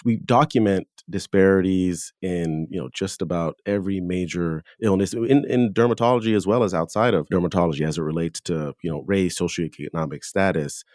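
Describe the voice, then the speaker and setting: A man with a deep voice hosts an academic discussion in a dry, echo-free recording. He talks in a conversational, reflective way, developing the points under discussion as an expert interviewer. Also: darkish tone. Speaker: podcast host, dermatologist.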